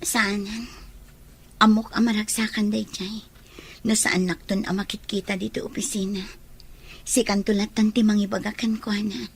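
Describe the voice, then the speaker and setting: A woman acting as an apologetic but determined heroine with a slightly husky voice. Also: medium pitch, little pitch movement, normal speed, long pauses, a little hiss or hum, natural voice. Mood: apologetic, sad. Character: Annalyn, apologetic, determined woman leaving for work abroad. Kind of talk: Ilocano radio-style drama acting.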